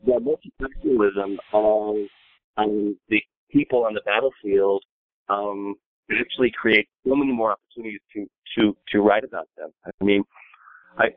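An American man, an author, speaking reflectively on a panel in a deliberate, halting way that repeats words. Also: lowish voice, lively intonation, gravelly, dry recording. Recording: narrow phone-like line.

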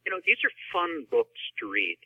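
A man's voice: on the high side, very animated, moderate pace, husky, no echo. A man talking casually as a guest author, explaining and telling stories in a conversational way. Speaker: author guest discussing his novel. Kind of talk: casual discussion. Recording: muffled, dry phone-line sound.

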